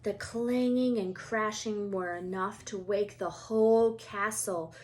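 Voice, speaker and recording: A woman storyteller reading a children's book aloud in an expressive style. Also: mid-range voice, takes it slowly, a little hiss or hum.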